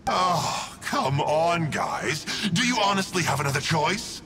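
A man's voice: on the high side, huge pitch swings, measured pace, heavily acted. A man playing a smug, scheming villain in a video game, glib, theatrical and mocking, with playful flippancy. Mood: breezy, mocking. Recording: music playing behind the voice.

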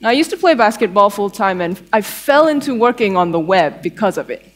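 A woman's voice: medium pitch, very animated, a little fast. A young woman with a Malaysian accent giving a tech conference talk on stage in a conversational, playful way with dry humour. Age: young adult. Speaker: conference speaker, developer advocate. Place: theatre stage before an audience.

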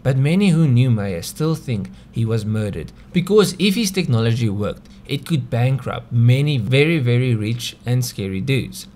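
South african guy hosting an explainer video, chatty narration, mid pitched voice, bit of background noise. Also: expressive, moderate pace, hardly any pauses, a little mellow. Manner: conversational, rhetorical questions. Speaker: video host.

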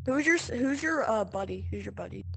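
A woman's voice: on the high side, huge pitch swings, brisk, noisy background. A woman chatting casually while playing a VR game, arguing insistently and getting exasperated with the other players. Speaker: VR gamer. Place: at home, playing in VR.

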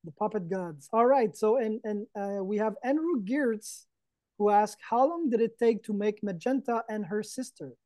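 A woman with a mellow, medium-pitched voice hosts casually over a video call. She passes on questions from the audience, with very little room sound around her voice. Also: moderate pace, no echo. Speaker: host reading audience questions. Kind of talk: casual event hosting.

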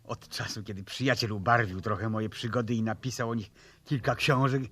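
An actor playing a weary, grumbling but kindly adventurer detective in a 1970s radio play. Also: male voice, on the low side, fairly even intonation, brisk, a bit bright.

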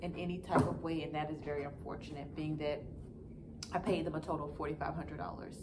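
Frustrated woman, lowish voice, noisy room. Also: little pitch movement, fast. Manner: frustrated, matter-of-fact. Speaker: vlogger giving a customer review. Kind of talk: casual update talk to followers. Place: school classroom, indoors. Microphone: camera a few feet away. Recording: clear background noise, phone-like distance in room.

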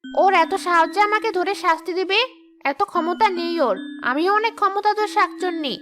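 A woman's voice: very high voice, normal speed, sharp tone, faint music behind. A woman voicing a brash, greedy village ghost in a cartoon, with a blunt, grumbling, boastful manner. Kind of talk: animated cartoon dialogue.

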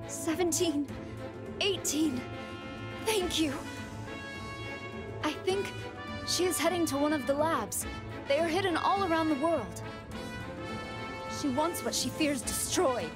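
A woman voicing a gentle, remorseful female android in an anime game, earnest and apologetic. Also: high-pitched, animated, slow pace, pauses often, music in the background. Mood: grateful, earnest.

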